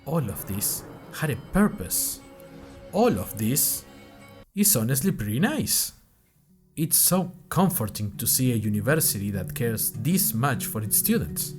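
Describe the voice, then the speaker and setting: A man playing a bemused university student who narrates a first-person audio diary in a dry, musing, deliberate way. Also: mid-range voice, sing-song, a little mellow, faint music behind. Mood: wry, musing. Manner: dry, wry, musing in short deliberate phrases. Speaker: narrator of a fiction podcast.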